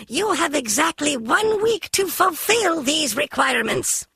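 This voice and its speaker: Shrill, creaky puppet villain. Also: female voice, sing-song, measured pace, bright, forceful tone, dry recording, full character voice. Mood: haughty menace. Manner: pompous, formal, theatrically threatening. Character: menacing puppet messenger for a criminal organization, haughty and shrill. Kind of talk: scripted villain speech in a spy video game.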